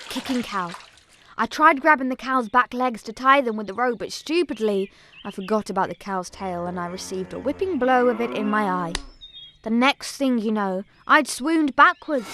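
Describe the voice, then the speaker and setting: A woman playing an eager young Punjabi village girl, telling her story in expressive first-person dramatic narration. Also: fairly high pitch, background noise, natural voice.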